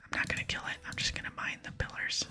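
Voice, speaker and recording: A woman whispering softly and deliberately as she hosts an ASMR gaming read-along, breathy and close, with no full voice. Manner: soft, close, deliberate reading. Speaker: ASMR gaming host reading quest dialogue. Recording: music under close whisper.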